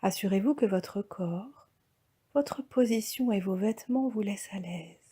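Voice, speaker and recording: Slow, breathy woman guiding relaxation. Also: mid-range voice. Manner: calm, soft, breathy, often near-whispered delivery. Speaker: yoga nidra instructor. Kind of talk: guided relaxation meditation.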